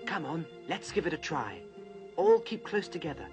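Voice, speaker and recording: A man's voice: on the high side, big pitch swings, normal speed. A young actor playing a sensible, protective eldest brother in his teens in a children's fantasy audio drama, earnest, polite and decisive. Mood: alert, decisive. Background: music.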